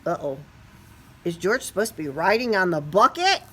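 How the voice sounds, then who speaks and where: A woman's voice: on the low side, animated, moderate pace, bright, forceful tone, a little hiss or hum. A woman reading a children's picture book aloud expressively, stretching key words and putting questions to young listeners. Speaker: storybook reader.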